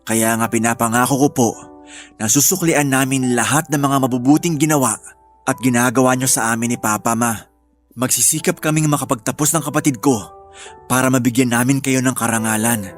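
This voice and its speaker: A man's voice: mid-range voice, fairly even intonation, brisk, lightly acted. A man playing a dutiful, playful eldest son who is still a student, in a family radio drama. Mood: earnest, devoted. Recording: faint music bed under voice.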